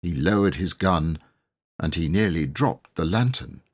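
A male audiobook reader with a fairly low, husky voice. He narrates a children's story expressively, with a lot of melodic movement in his delivery. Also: animated, unhurried.

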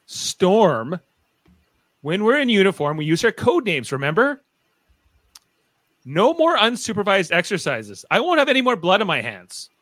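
A man's voice: high-pitched, huge pitch swings, measured pace, pauses often, fairly bright tone, dry recording, exaggerated voice. A man acting an uptight, stern young superhero team leader in a live radio play, commanding and insistent.

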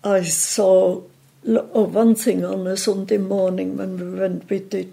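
Woman in her eighties, Swiss accent, gentle hesitant talk, heavy vocal fry, mellow. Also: medium pitch, flat delivery. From Switzerland. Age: 80s. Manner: gentle, hesitant, many pauses and restarts. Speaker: interview guest. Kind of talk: casual reminiscing.

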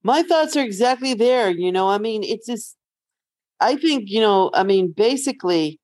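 A woman's voice: mid-range voice, expressive, moderate pace, a little mellow, no echo, clean studio sound. A middle-aged woman co-hosting a podcast, talking casually in a wry, matter-of-fact way. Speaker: podcast co-host. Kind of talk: casual conversational chat.

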